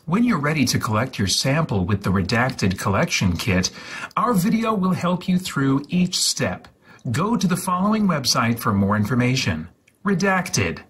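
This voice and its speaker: A man reading an instruction leaflet aloud in a deliberate, deadpan way, drawing out and repeating some words. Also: medium pitch, slight background noise. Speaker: host of a gaming livestream channel.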